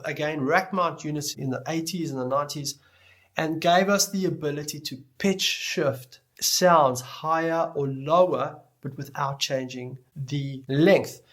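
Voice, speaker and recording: A man giving an explanatory tutorial lecture as an instructor, speaking deliberately and stressing key words as he goes. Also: fairly high pitch, a bit bright. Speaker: music production instructor.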